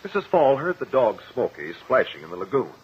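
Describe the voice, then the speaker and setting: Animated courtroom investigator, old radio. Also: male voice, medium pitch, brisk. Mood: confident, explanatory. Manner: formal, precise, declamatory. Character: shrewd, confident male courtroom investigator explaining the case. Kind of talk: 1950s radio drama acting. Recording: muffled, noisy old radio recording.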